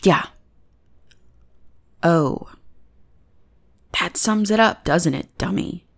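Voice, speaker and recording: A woman's voice: lowish voice, drawn-out words, creaky, heavily acted. A woman playing a bossy, teasing goth bully who is secretly smitten, in an intimate ASMR roleplay. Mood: grudgingly affectionate. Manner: mocking, drawling, intimate teasing. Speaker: voice actor in audio roleplay.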